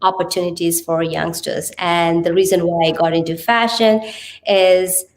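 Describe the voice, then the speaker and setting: A woman's voice: fairly low pitch, steady tone, moderate pace. A woman with a Sri Lankan accent speaking earnestly as an interview guest, explaining things phrase by phrase. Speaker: podcast guest, TV presenter and radio host. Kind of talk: conversational interview self-introduction and explanation.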